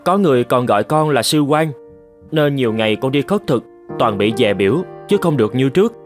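A man's voice: medium pitch, animated, brisk, soft background music, close to an everyday voice. A man acting an earnest young novice monk. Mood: respectful, troubled. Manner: deferential, polite. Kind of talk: dramatic cartoon voice acting.

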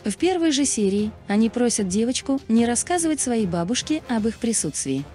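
A woman's voice: on the high side, big pitch swings, a little fast, warm tone. A woman reading scripted, documentary-style narration. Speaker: video narrator. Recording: music behind the voice. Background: background music.

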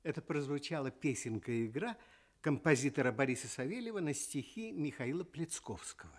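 A man hosting a scripted radio show for children, announcing items with expressive, clearly separated phrasing. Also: on the high side, normal speed.

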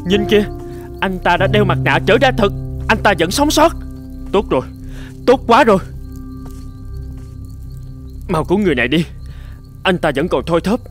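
Higher-pitched, urgent rescue worker. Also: male voice, sing-song, fairly quick, pauses often, faint music behind. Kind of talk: cartoon drama dubbing.

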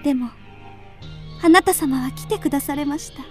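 Lady playing wistful ghost girl, high voice, super polite old-style speech, radio drama. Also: normal speed, soft background music, natural voice. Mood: tender, inviting. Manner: polite, refined old-fashioned feminine speech. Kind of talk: Japanese radio drama acting.

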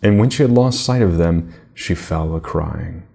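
A middle-aged man narrating a children's fairy tale in a measured, deliberate manner. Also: low-pitched, lively intonation, vocal fry, warm tone, slightly rough. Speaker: storybook reader.

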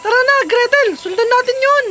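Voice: very high-pitched, brisk, background noise, pitched above the performer's own voice. A narrator voicing an earnest, brave little boy in a fairy tale cartoon, in a childlike manner. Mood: excited.

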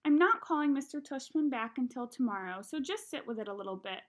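A woman voicing an earnest, coaxing mother in a storybook, gently insistent and persuasive. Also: high-pitched, animated, a little fast.

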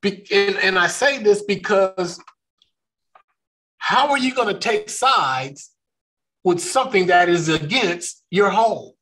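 A man's voice: high-pitched, lively intonation, a bit bright. A man from California, hosting a faith discussion, talking earnestly with many restarts. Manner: slow, earnest, with frequent restarts. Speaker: ministry discussion host.

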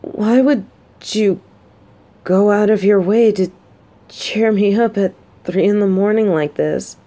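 A woman playing a female military bodyguard in a romantic audio roleplay, gruff but shy and secretly lovestruck, speaking softly and haltingly. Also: medium pitch, lightly acted. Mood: sulky, puzzled. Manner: halting, flustered, soft-spoken.

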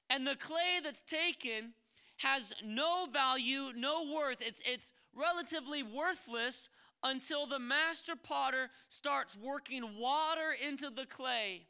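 Impassioned high-voiced pastor preaching on stage. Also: male voice, pushed and bright, clean tone. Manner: impassioned, acting out pleading inner voices. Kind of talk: sermon. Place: large dark church stage. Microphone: handheld mic.

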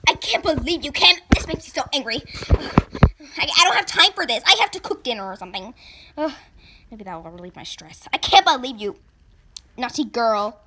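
An exasperated mother, voiced by a woman, who scolds in a high voice that swings sharply. The recording has noticeable noise beneath it. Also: lively intonation, a little fast. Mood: angry. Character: stressed, angry mother.

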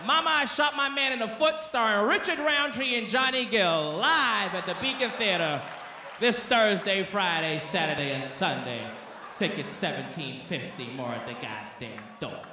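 A man's voice: high-pitched, normal speed, voice pushed down, close to an everyday voice. Man putting on melodramatic stage play ad announcer. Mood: mock promotional. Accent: American. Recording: echoey theater PA, audience noise.

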